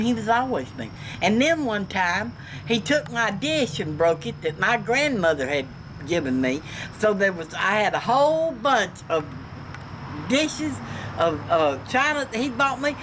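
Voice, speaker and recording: Older Georgia woman, noisy porch recording. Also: medium pitch. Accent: Southern American, Georgia. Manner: blunt, folksy, salty-tongued. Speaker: storytelling grandmother. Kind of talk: casual personal storytelling. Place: outdoors on a front porch. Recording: noisy outdoor home video, passing vehicles.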